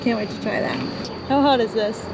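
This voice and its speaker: A woman's voice: on the high side, fairly even intonation, fairly quick, creaky, heavy background noise. A woman chatting casually on a vlog in an easygoing, giggly way. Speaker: vlogger.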